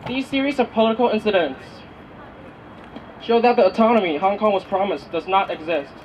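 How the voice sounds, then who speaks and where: A man's voice: sits high, a little fast. A man reading a prepared, formal speech as a youth group's speaker at a rally. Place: outdoor city street rally. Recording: distant, dull outdoor recording, faint noise.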